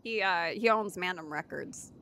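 A woman's voice: lowish voice, normal speed, sharp tone. A young woman voicing a laid-back character in a roleplay, chatting casually with giggles and mumbled trailing sentences. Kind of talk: improvised roleplay.

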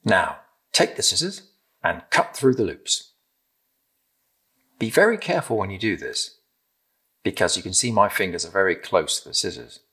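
A middle-aged British man gently and encouragingly demonstrating a craft step by step in simple instructions. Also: deep voice, very animated, normal speed, pauses often, slightly rough. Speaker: craft presenter. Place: indoor room with timber-framed walls, at a table.

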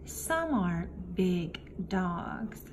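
A woman reading a picture book aloud to young children, in simple phrases with deliberate pauses. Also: lowish voice, big pitch swings. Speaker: children's story reader. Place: indoor room, book filmed on a table. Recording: faint room noise behind the voice.

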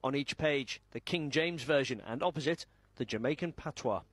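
British male news presenter, mid-pitched. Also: normal speed, a bit bright, a little hiss or hum. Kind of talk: scripted news narration. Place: TV studio.

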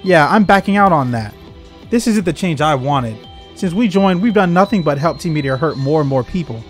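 A man voicing a fed-up, sharp-tongued young female villain grunt from a video game, exasperated and emphatic. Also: a little high, animated, moderate pace, natural voice. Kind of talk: voiced reading of game dialogue. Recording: game music behind.

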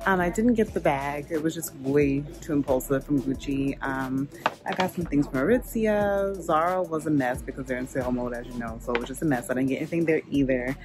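A woman in her thirties chatting casually to a phone camera held at arm's length, relaxed and full of fillers as she vlogs her day. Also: low voice, fairly quick, music in the background. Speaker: lifestyle vlogger. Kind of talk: casual vlog chat to camera. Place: indoors, home and shopping mall.

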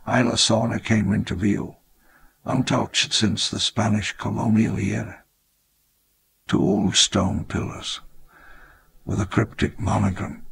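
An actor playing a wistful elderly park founder, narrating his memoir in measured, reflective storytelling. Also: fairly low pitch, gravelly. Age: older. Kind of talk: scripted memoir narration in a game.

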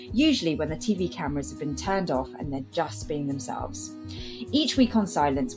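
A woman hosting a podcast, reading a scripted introduction and then chatting with her guest, enthusiastic and quick to laugh. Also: lowish voice, a little mellow. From half Sri Lankan, half Malaysian. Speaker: podcast host.

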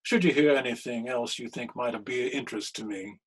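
A man playing an anguished, scholarly clergyman in a period radio mystery, speaking with formal, deliberate diction. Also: medium pitch, breathy edge, lightly acted. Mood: cool, controlled. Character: anguished, scholarly older clergyman posing as crime boss.

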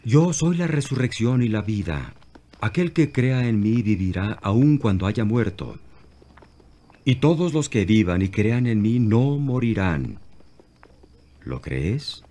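Calm holy teacher, low voice, widely varied intonation, solemn and authoritative, animated Bible film. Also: male voice, exaggerated voice. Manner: solemn, measured, declarative.

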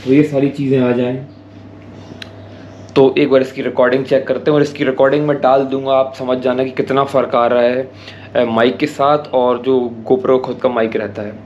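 A man's voice: medium pitch, level tone, normal speed, a little mellow, muffled recording, a little hiss or hum. A young man casually explaining his camera setup step by step in a vlog, recorded on an action camera. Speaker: motovlogger. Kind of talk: casual gear-review vlog. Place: small home room indoors, later riding a motorbike on city streets. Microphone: action camera with attached mic.

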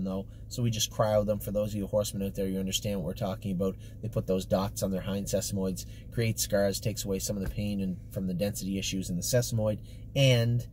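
A middle-aged man speaks in a somewhat deep voice, casually running through an update. His phrasing is informal, choppy and matter-of-fact. He is recorded inside a car, with faint ambient noise around him. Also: darkish tone. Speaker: stable commentator giving horse updates. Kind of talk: casual spoken update to camera. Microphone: camera or phone mounted at arm's length. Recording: clear background noise in a car.

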